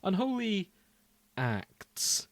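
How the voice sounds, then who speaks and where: A man's voice: high-pitched, huge pitch swings, extremely slow, no room sound. A man co-hosting a podcast in casual chat, with a jokey, giggly manner. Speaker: podcast co-host.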